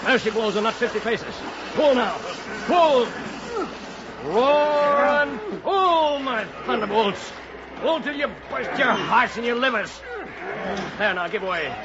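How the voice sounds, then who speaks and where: Bellowing, high-pitched whaling first mate. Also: male voice. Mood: tense, hushed. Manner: bellowing drawn-out orders. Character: commanding first mate of a whaling ship, urgent and fierce. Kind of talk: old-time radio drama acting. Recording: dull old radio recording, crowd and noise.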